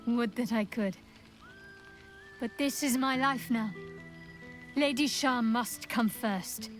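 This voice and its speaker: A devout dark priestess from a video game, commanding yet wistful. Her voice sits fairly high, and she speaks formally and intimately, with measured, wry poise. Faint music plays behind her. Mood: wistful, resigned. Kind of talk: video game character dialogue. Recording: game dialogue over background music.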